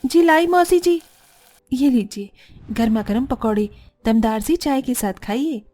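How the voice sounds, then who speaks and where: A woman's voice: a little high, measured pace, mellow, faint music behind. A woman voicing a dutiful young bride who is polite to elders and grumbles to herself, in a cartoon family story. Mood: eager, polite. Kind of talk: cartoon family drama acting.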